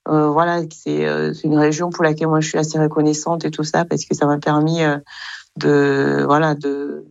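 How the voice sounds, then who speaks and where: A young woman from Rwanda, guest on a radio interview, who speaks hesitantly with many fillers and soft laughs. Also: low voice, level tone, normal speed, vocal fry, no echo, muffled recording.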